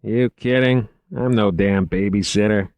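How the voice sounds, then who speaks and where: Man playing brusque, grumbling, low-voiced security dispatcher. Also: steady tone, unhurried, a little mellow, no echo. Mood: dismissive. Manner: blunt, casual. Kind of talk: audiobook character acting.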